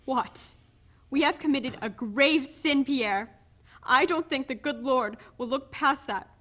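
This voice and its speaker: A woman's voice: high voice, slow, a bit bright, full character voice. A woman playing a bitter, devout condemned prisoner, a family man, in a dramatic play reading, earnest and reproachful. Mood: bitter, resigned.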